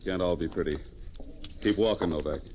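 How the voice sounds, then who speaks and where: A man's voice: sits low, brisk, a little mellow, natural voice. An actor playing a menacing gunman in an old radio crime drama, giving curt, growled orders. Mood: curt menace. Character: menacing male gangster thug holding someone at gunpoint. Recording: noisy old radio transcription.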